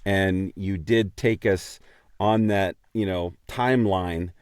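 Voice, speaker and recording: A man's voice: low voice, steady tone, slow, darkish tone, smooth, dry recording, studio quality. A man co-hosting a podcast, chatting casually and jokily with a guest. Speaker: podcast co-host. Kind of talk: casual, jokey chat.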